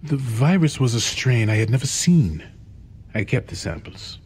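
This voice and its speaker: A man's voice: mid-range voice, expressive, moderate pace, a little mellow, slight background noise, natural voice. A man playing a grave, dignified scientist giving testimony in a video game cutscene.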